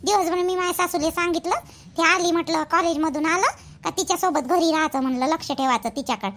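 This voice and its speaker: Woman acting cartoon village mother, extremely high childlike pitch, sharp bright tone, Varhadi Vidarbha accent, hurried, practical, rambling. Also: fairly even intonation. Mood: explaining, hurried. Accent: Varhadi Marathi, Vidarbha. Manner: rambling, colloquial, repetitive. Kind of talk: rural family cartoon dialogue.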